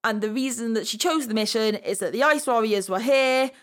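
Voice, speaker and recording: A woman's voice: a little high, a little fast. A woman hosting a podcast, talking casually through a discussion. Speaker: podcast host.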